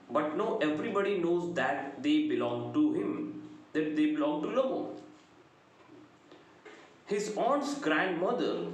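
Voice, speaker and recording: High-voiced Indian man lecturing in echoey room. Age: middle-aged. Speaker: English literature lecturer. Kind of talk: lecture summarizing a play. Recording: very echoey room, faint background noise.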